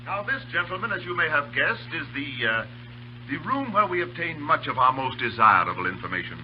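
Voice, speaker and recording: A menacing Indian khan of old radio drama, courtly and deliberate. His pitch moves freely as he wraps threats in elaborate politeness. The recording is dull, with a faint hum and noise. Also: sing-song. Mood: sinister calm. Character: suave, menacing Indian khan who captures and tortures officers. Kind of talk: 1930s radio drama acting.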